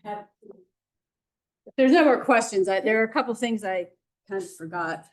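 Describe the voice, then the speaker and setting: A woman's voice: medium pitch, lively intonation, moderate pace, far from the mic. A woman organizer making casual announcements, laughing lightly between points. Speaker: event organizer. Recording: voice from away from the mic.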